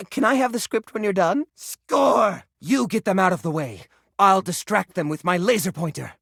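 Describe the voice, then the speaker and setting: A man voicing a cheerful, fussy, nerdy household junk drawer in a video game, with enthusiastic delivery and mild, squeaky-clean exclamations. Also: vocal fry, a bit bright, no echo, clean studio sound, exaggerated voice. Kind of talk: animated video game character voice acting.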